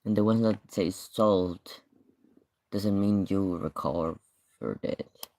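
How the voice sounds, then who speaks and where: A man whose first language is Spanish, reacting to videos on a live stream, thinking aloud in a halting way and sometimes muttering. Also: low voice, fairly even intonation, darkish tone, no echo. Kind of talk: casual live-stream commentary.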